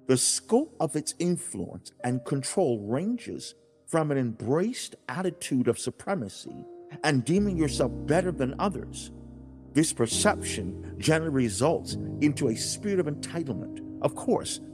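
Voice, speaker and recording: Man acting as God, stern fatherly teacher, solemn lecturing tone, mid-pitched, very animated, dramatized audiobook, music behind. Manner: solemn, declamatory, lecturing with rhetorical questions. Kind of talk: dramatized religious audiobook monologue.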